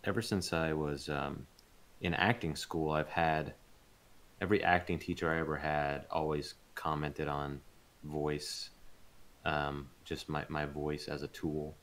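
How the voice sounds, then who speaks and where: A man speaking as an interviewed voice actor, chatting casually in a rambling, informal way and pausing to think. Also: extremely low pitch, flat delivery, a bit bright. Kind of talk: casual chat in a livestreamed interview.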